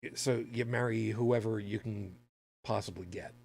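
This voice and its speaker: A man from the United States co-hosting a podcast, giving casual, opinionated commentary in a halting, emphatic, sardonic way. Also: lowish voice, steady tone, gravelly, a little mellow. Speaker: podcast co-host.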